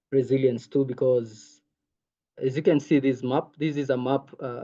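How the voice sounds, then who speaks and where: A man's voice: mid-range voice, mellow. A young man from Kenya whose first language is Maasai, giving an earnest online presentation over a video call with many ums. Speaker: intern presenter. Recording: muffled video call audio.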